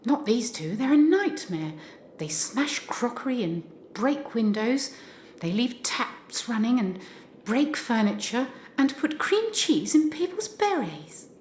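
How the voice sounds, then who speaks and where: A woman's voice: on the high side, very animated, takes it slowly, darkish tone, a little hiss or hum. A woman playing an anxious, curious new governess in an audio drama, earnest and questioning. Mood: hesitant. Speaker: amateur actor. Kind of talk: community audio drama acting.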